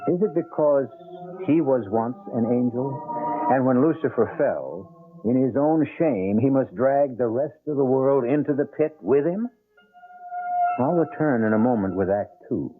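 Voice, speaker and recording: A man hosting and narrating a radio mystery in an ominous, measured, theatrical way. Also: medium pitch, expressive, music in the background. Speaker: host and narrator. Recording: tinny, band-limited old radio broadcast.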